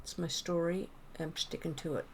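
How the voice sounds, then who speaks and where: A woman streaming a game, chatting casually with viewers and rambling as she thinks out loud. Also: lowish voice, fairly even intonation, moderate pace, vocal fry, a little hiss or hum. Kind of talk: casual gameplay chat with viewers.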